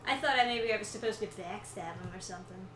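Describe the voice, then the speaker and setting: A woman streamer giving casual, playful commentary as she plays a video game, reacting out loud to what she sees. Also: medium pitch, fast talker. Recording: roomy echo, clear background hum.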